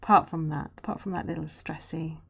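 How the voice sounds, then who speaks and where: A woman with a naturally low voice, chatting casually and rambling to her live-stream viewers while she draws. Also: steady tone, brisk, vocal fry, husky. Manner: chatty and rambling, drifting into murmurs and humming while she works. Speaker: streamer and artist. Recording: dull lo-fi stream audio.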